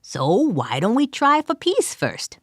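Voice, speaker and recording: A woman's voice: fairly high pitch, huge pitch swings, slow pace, dry recording, clean studio sound, full character voice. A woman voicing an earnest adventurer who gives a grand, formal plea for peace.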